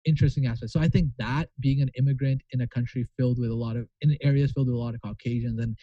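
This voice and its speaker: A man's voice: mid-range voice, steady tone, racing, no echo. A young man from Pakistan, a guest talking in a casual interview in an earnest, rambling way with many restarts. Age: young adult. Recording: muffled lo-fi sound.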